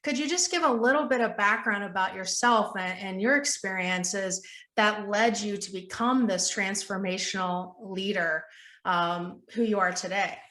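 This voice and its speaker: A woman's voice: mid-range voice, normal speed, bright, forceful tone, echoey room. A woman hosting a podcast as a health coach, talking in an upbeat, gushing, motivational way. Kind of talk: enthusiastic podcast interviewing.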